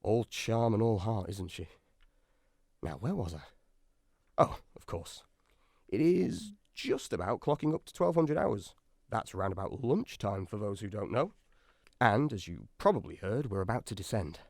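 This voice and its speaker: A man's voice: on the low side, big pitch swings, unhurried, vocal fry, a little mellow, dry recording, clean studio sound, heavily acted. A man acting in a radio drama as a wry, anxious science officer aboard a submarine, chatty and dryly sarcastic.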